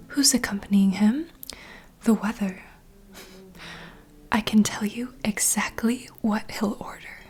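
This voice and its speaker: A woman playing a self-assured, doting vampire bartender in a whispered, intimate and unhurried ASMR roleplay. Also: mid-range voice, a little mellow, slight background noise, close to an everyday voice. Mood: confiding.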